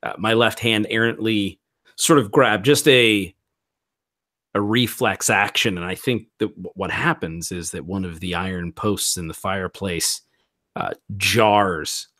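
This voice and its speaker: A man's voice: fairly low pitch, a little slow, fairly bright tone, no room sound, studio quality. A man playing a mystery role-playing game, describing his character's actions in a wordy, slightly formal way with restarted phrases.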